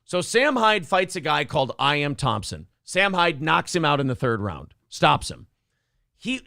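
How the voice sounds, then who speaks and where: A man's voice: a little high, vocal fry, fairly bright tone, no room sound. A man hosting a morning show, chatting casually with a dry throat, profane and opinionated, acting out quotes in raised voices. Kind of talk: casual talk-show banter.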